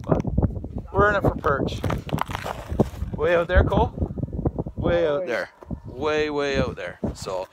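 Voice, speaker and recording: A relaxed, chatty man from Canada in his thirties, vlogging outdoors on a frozen lake with his phone held out at arm's length. His voice sits a bit high, and steady noise runs under the recording. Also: takes it slowly, pauses often. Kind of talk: casual vlog tour.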